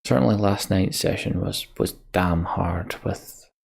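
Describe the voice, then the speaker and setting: A man giving casual, reflective game commentary as a let's play host, halting and wistful, often sighing. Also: deep voice, gravelly. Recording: music behind the voice. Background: game soundtrack music.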